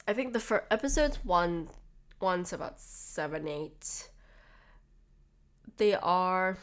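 A young adult woman with a blocked nose and a slightly nasal voice, rambling casually on her podcast with lots of "like"s and restarts. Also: on the low side. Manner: chatty, rambling with frequent "like" and restarts. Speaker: podcast host. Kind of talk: casual solo podcast talk.

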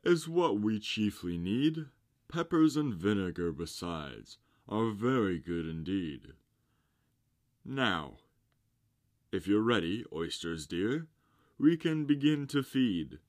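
A man voicing a pompous, coaxing walrus from a storybook, with a grand, drawn-out, theatrical delivery. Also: lowish voice, very animated, darkish tone, pitched above the performer's own voice. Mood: hungry, eager. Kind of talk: storybook character reading.

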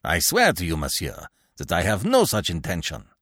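Man acting a sullen ferryman, wildly swinging pitch. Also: sing-song, normal speed, no room sound, clean studio sound, full character voice. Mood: terrified pleading. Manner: gruff, defiant, exclamatory. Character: sullen, frightened ferryman, angry and resentful, then pleading.

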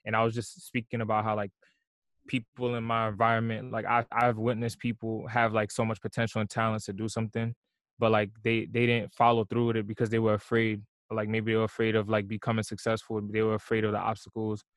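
A man's voice: fairly low pitch, completely flat delivery, a little fast, dry recording. A young man from New York, a musician being interviewed, talking in a laid-back way full of "like" and "you know". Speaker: musician guest. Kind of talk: casual interview conversation.

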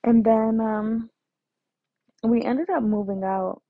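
Woman recounting her life story, slow-paced and hesitant, heavy on fillers; the sound is phone-like. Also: a little high, steady tone, no echo. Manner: hesitant, many fillers and restarts. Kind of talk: personal storytelling. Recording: tinny phone-like dry sound.

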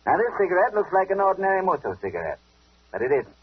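Husky, sly old police inspector. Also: male voice, high voice, wildly swooping pitch, fast talker, full character voice. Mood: sly, deliberate. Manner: taunting, commanding, clipped. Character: tough, sly older police inspector, father of detective. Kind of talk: 1940s radio detective drama acting. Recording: dull old radio broadcast recording.

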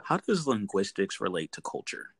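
A man's voice: fairly low pitch, sing-song, moderate pace, husky, dry recording, clean studio sound. A man hosting a podcast interview, asking questions in a loose, rambling way full of fillers. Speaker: podcast host. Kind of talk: casual interview chat.

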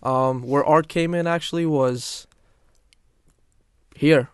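A man's voice: on the high side, steady tone, slow, clean tone, no echo, studio quality. A young man telling his story in a casual podcast chat, earnest and full of restarts. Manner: earnest, many restarts and "literally". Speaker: guest.

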